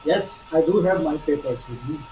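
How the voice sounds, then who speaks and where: Man as a migrant father, reassuring, steady, high-pitched voice, echoey stage recording. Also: normal speed, slight background noise, lightly acted. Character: anxious male migrant father waiting with papers. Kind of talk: amateur stage play acting.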